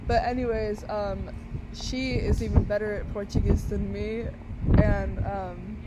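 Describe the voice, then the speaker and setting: A woman's voice: medium pitch, steady tone, measured pace, muffled recording. Young woman, casual rambling interviewee, outdoor traffic noise. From Brazil. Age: young adult. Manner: chatty, many fillers and restarts.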